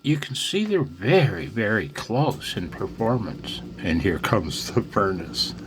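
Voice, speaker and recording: A man with a very low voice talks at an unhurried, slow pace, like a hobbyist walking through his gear. His delivery is casual and unscripted. Clear background noise runs behind him in what sounds like a home radio room. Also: sing-song. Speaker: amateur radio hobbyist demonstrating antennas. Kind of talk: casual unscripted demonstration commentary. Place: indoors at a home radio station.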